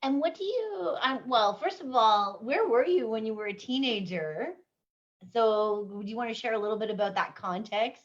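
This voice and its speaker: A woman's voice: fairly high pitch, expressive, normal speed. Affirming woman host, slightly dull audio. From Canada. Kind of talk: casual interview.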